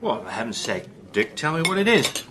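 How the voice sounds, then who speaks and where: An actor playing a cheerful, adventurous gentleman in a radio drama, breezy and full of old-fashioned slang. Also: fairly high pitch, very animated, a little fast, background noise. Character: adventurous upper-class British gentleman, cheerful.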